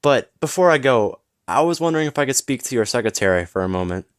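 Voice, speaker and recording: A man playing a smug, flirtatious businessman in an audio roleplay, teasing and smooth-talking with mock politeness. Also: mid-range voice, expressive, fast, no echo, studio quality, lightly acted. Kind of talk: scripted audio roleplay acting.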